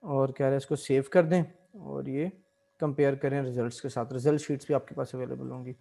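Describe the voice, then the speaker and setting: A man's voice: medium pitch, a little fast, creaky. A man, explaining spreadsheet tasks step by step as an instructor, mixing in English terms. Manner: mixes English terms into explanations, steady teaching pace. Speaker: Excel instructor. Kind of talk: screen-recorded software tutorial.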